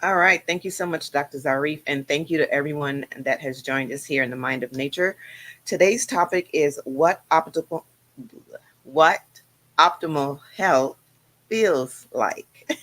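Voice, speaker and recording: A woman co-hosting a live health stream, making announcements in an upbeat, quick way. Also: sits low, fairly bright tone. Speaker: co-host. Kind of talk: livestream announcements.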